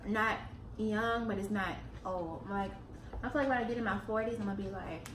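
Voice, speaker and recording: A woman, co-hosting a casual Q&A and talking in a slow, drawn-out, giggly way. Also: mid-range voice, far from the mic. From Houston, Texas, US. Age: 20s. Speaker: YouTube co-host. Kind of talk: casual chat during a mukbang Q&A. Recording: echoey room, a bit off-mic, faint noise.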